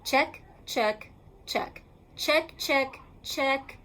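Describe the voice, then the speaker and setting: Woman storyteller for a picture book: slow, deliberate pacing, high register, emphatic delivery. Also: fairly bright tone. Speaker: elementary school teacher reading aloud. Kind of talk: expressive children's picture book read-aloud.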